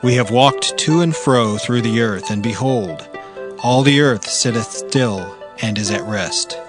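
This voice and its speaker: Man as a calm heavenly messenger over music. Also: medium pitch, measured pace, close to an everyday voice. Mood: calm, reporting. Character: calm male heavenly messenger reporting his task. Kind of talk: dramatized scripture reading. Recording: loud music bed behind voice.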